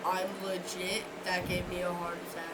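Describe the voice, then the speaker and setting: A woman's voice: fairly low pitch, completely flat delivery, moderate pace. A woman chatting casually as she reacts to videos, tossing out short, slangy, joking remarks. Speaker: reaction streamer watching scary videos. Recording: heavy background noise.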